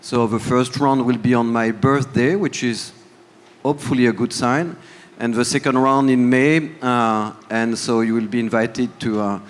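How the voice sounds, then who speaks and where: A man with a French accent talks casually on a summit panel, making wry jokes at his own expense. His voice sits at a middle pitch. It rings out with a long reverb in a large conference hall. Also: fairly even intonation, normal speed. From France. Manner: self-deprecating, joking. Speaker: panel guest, entrepreneur running for office.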